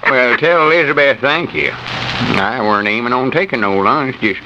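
A man's voice: mid-range voice, animated, normal speed, natural voice. An actor playing a stubborn, sensible country man with a rural Southern accent, folksy and plain-spoken, in an old-time radio comedy style. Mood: pleased, gentle. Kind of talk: 1940s radio comedy acting. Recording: noisy, muffled old radio recording.

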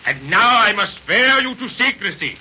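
An actor voicing an anxious, courteous, formal frontier mill owner in 1930s radio style. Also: male voice, high-pitched, big pitch swings, moderate pace, pushed and bright, heavily acted. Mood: pleading, courteous. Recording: muffled, hissy 1930s radio recording.

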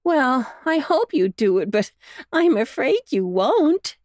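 A fretful, sighing mother dog from a storybook, voiced by a woman reader, pitched very high and pushed louder than the narration. She frets in slow, emphatic bursts with a lot of rise and fall, close and dry. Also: very animated, no room sound, exaggerated voice.

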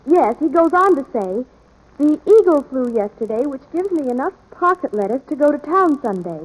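A woman's voice: high-pitched, big pitch swings, normal speed, low-quality recording. A woman co-hosting a scripted commercial dialogue in 1940s radio style. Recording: old 1940s radio broadcast.

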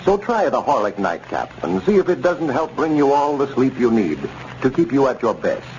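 A man announcing on 1930s radio, reading a scripted sponsor pitch in a polished, measured, formal way. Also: very animated, a little mellow. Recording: dull, noisy 1930s radio recording.

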